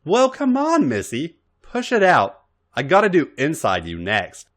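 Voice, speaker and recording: A man's voice: on the high side, huge pitch swings, measured pace, voice pushed up. A man voicing a bossy, impatient woman who can turn tender, as dialogue in a story reading. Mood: exasperated.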